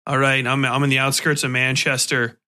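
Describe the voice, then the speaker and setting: A man's voice: mid-range voice, level tone, a little fast, sharp tone. A man hosting a podcast, chatting casually and asking informal, jokey questions. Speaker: podcast host, interviewer. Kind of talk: casual interview chat.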